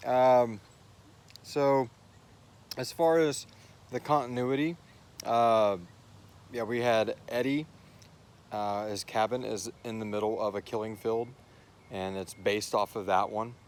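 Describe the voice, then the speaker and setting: A man's voice: medium pitch, fairly even intonation, slow pace, long pauses. An American man co-hosting a casual question-and-answer chat in an informal, rambling way. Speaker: co-host and writer of a horror fiction series. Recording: clear background noise and ambience.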